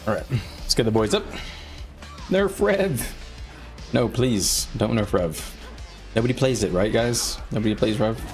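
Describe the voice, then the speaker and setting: A man's voice: on the low side, lively intonation, unhurried, pauses often, soft background music. A man who streams games, giving casual live commentary and rambling as he thinks aloud. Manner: rambling, thinking aloud, often clearing throat. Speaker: game streamer.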